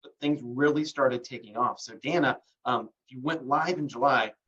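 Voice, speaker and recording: A man's voice: medium pitch, normal speed. A man hosting a live call, chatty with lots of fillers, getting over bronchitis. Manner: relaxed, many fillers, promotional. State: recovering from bronchitis. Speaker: host. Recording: distant muffled lo-fi call audio.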